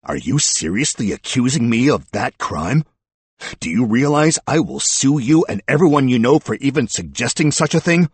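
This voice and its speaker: A man's voice: mid-range voice, sing-song, normal speed, gravelly, husky, no echo, pitched above the performer's own voice, heavily acted. A man voicing a blustering, cornered middle-aged man who turns from indignation to begging, in an audiobook story. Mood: indignant. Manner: loud, blustering, breaking into begging.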